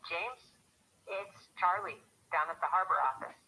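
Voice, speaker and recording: An actor playing a harried small-town harbor office worker in a radio drama, chatty and fretful on a telephone. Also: male voice, high-pitched, moderate pace, pushed and bright, breathy edge, full character voice, rough recording. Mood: familiar, teasing. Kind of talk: radio drama phone call.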